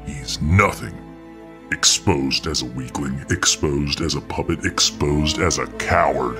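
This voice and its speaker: An extremely low, rumbling supervillain, acted by a man, speaks over clear background music. He is huge, domineering and righteous, taunting deliberately and with contempt in an audio drama monologue. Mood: contemptuous, taunting. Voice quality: very deep voice. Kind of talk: ASMR audio role-play acting.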